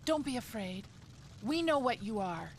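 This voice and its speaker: A woman playing a soothing female cartoon character with a gentle, reassuring manner. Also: on the high side, big pitch swings, moderate pace, faint music behind.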